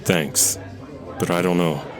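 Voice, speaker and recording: A man's voice: low-pitched, steady tone, slow, crowd noise. An actor playing a lonely, guilt-ridden young man who talks in a hesitant, subdued way, in an audio drama. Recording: audio drama with music underneath.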